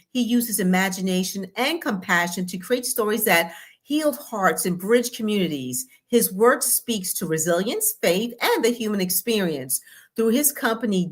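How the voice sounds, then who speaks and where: American woman co-hosting a show. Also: mid-range voice. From US East Coast. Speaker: show co-host. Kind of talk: upbeat show introduction and guest introduction.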